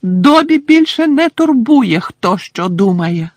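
A reader voicing a small, anxious, servile male house-elf who talks about himself in the third person, in a high piping voice. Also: big pitch swings, slow pace, a little mellow, no echo. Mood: defiant. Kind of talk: audiobook character voice.

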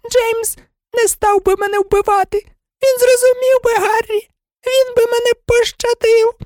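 Lady doing a super high squeaky whiny coward guy from a storybook, begging and snivelling, dry sound. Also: fairly even intonation, a little slow, a little mellow, no room sound. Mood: pleading. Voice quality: squeaky, thin. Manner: whining, pleading, sobbing. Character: cowardly, whimpering, pleading balding man, traitor.

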